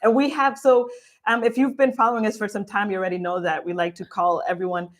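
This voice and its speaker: A woman's voice: medium pitch, level tone, fast. A woman from Guatemala co-hosting a livestream, reading out viewer questions in a bubbly, laugh-filled way. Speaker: co-host.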